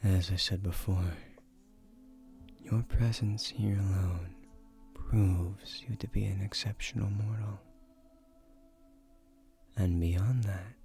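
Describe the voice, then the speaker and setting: An ancient male guardian spirit , acted by a man, woos in a fantasy audio roleplay. The voice is fairly low and very unhurried, breathy and soothing, with formal, courtly tenderness. Soft music plays faintly underneath. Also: fairly even intonation. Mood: wooing, intent. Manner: formal, measured, soothing, seductive, often breathy near-whisper. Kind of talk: romantic scripted audio roleplay.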